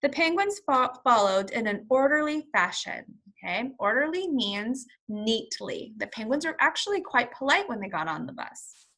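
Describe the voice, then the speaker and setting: Animated, bright-voiced woman teacher reading aloud. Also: on the high side, normal speed. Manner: expressive, instructional. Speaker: first-grade teacher. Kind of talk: children's read-along with vocabulary explanations.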